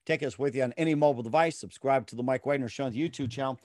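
A man's voice: medium pitch, very fast, a little mellow, no echo. A man hosting a podcast interview, reading a sponsor ad and then asking questions with many fillers. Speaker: podcast host. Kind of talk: interview with ad read.

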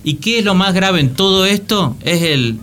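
A man's voice: sits high, lively intonation, moderate pace, fairly bright tone. A man with an Argentine accent in casual, humorous conversation as a radio show panelist. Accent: Argentine Spanish. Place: radio studio with music behind.

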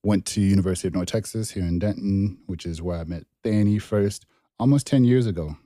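A young man from Zimbabwe co-hosting a podcast, talking in a relaxed, rambling way and laughing easily. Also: deep voice, brisk, dry recording, studio quality. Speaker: podcast co-host. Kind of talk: casual chat.

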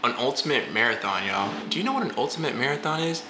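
A man hosting a podcast, chatting casually in slang, teasing his friend and telling stories in an animated way. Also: mid-range voice, fast talker, noisy background. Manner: animated storytelling, teasing, quotes people in slangy talk. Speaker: podcast host interviewing a friend. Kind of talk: casual bantering chat with slang. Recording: roomy echo, loud music behind. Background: music.

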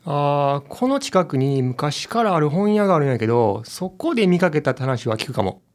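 A man's voice: a little high, sing-song, normal speed. A man playing an easygoing, slightly puzzled young client with a Kansai accent, in conversational radio drama style. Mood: mildly troubled.

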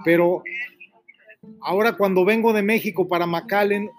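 A man's voice: sits high, steady tone, moderate pace, soft background music. A middle-aged man with a Mexican accent, hosting live call-in radio and talking in an earnest, rambling way that repeats itself. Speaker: radio host.

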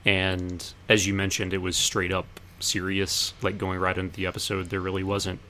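A man's voice: low-pitched, monotone, moderate pace, bright, forceful tone, slight background noise. A man co-hosting a podcast, talking casually and conversationally. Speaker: podcast co-host. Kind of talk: casual chat about anime.

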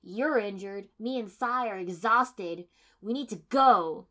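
A woman voicing a brash, angry young male ninja who argues bluntly and loudly. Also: mid-range voice, takes it slowly. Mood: exasperated. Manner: loud, blunt, exasperated shouting.

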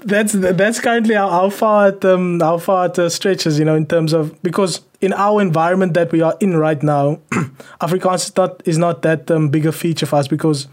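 Chatty South African man, slightly high voice. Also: fairly even intonation, normal speed. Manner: conversational, tag questions, many fillers. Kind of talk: casual chat.

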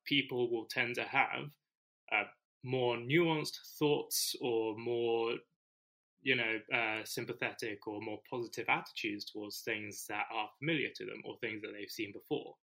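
A man from the UK co-hosting an education podcast, explaining his points in a measured, thoughtful way. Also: lowish voice, sharp tone, poor audio. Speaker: podcast co-host, teacher. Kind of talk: casual conversation.

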